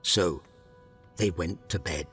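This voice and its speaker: A man narrating a fairy tale in a measured, dramatic storybook style. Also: low voice, a bit bright, breathy edge, soft background music.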